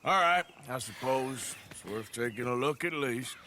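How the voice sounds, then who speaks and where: Gruff, forceful Western outlaw. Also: male voice, medium pitch, lively intonation, normal speed, slight background noise. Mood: sceptical. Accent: American Western drawl. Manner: wry, blunt, folksy. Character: gruff, sceptical male American Old West outlaw. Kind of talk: video game cutscene acting.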